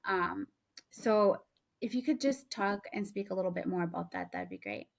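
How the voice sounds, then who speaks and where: A woman's voice: mid-range voice, normal speed, gravelly. A woman hosting an interview, asking long, searching questions with hesitations. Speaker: host.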